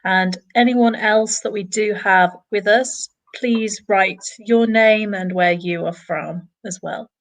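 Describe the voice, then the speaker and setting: A woman's voice: medium pitch, steady tone, takes it slowly, hardly any pauses. British woman teaching online. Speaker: English teacher co-hosting a webinar. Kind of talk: online lesson, conversational presenting.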